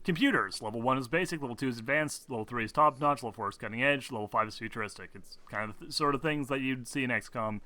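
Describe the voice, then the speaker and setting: A man's voice: mid-range voice, fast, hardly any pauses, bright, forceful tone, dry recording. A man working as game master, explaining tabletop game rules informally in a hesitant, rambling way with casual swearing.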